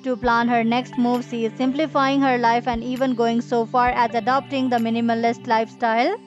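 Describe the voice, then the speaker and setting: Woman voice-over narrator, slightly high, even matter-of-fact delivery, lo-fi. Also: brisk. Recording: dull lo-fi voice-over with faint music.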